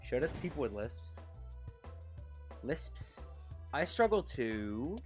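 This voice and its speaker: A man with a Canadian accent rambles through a live game stream in a mid-range voice, talking quickly. He speaks close to a desk mic while leaning back in his chair. Faint game music plays beneath him. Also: medium pitch, sing-song, a little mellow. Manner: rambling, quick-talking, self-conscious about his pronunciation. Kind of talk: casual live-stream chat over gameplay. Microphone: desk mic set close to his mouth.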